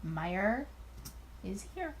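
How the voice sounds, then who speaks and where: A woman voicing a sly, smooth-talking, flirtatious male speakeasy owner in a theatrical drawl, in a visual novel game. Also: medium pitch, big pitch swings, extremely slow, a little hiss or hum, exaggerated voice. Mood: sly, teasing.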